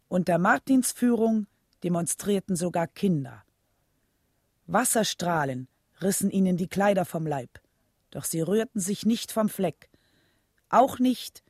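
A woman's voice: mid-range voice, a little mellow, no room sound, clean studio sound. A woman narrating a scripted documentary radio drama.

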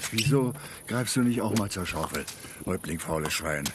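Gruff, low-voiced ageing cowboy. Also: male voice, lively intonation, normal speed, background noise. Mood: grumbling. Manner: gruff, grumbling, threatening. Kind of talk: Western radio drama acting.

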